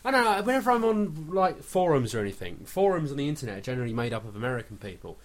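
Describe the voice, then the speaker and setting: A man's voice: a little high, big pitch swings, racing. An English man co-hosting a comedy podcast, chatting casually, rambling and tripping over his words with a giggle. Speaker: podcast co-host.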